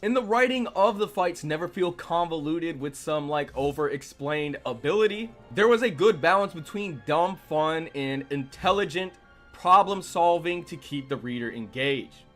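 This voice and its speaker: A man's voice: fairly high pitch, lively intonation, normal speed, a bit bright. A man narrating a scripted manga review in the style of a video essay. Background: music.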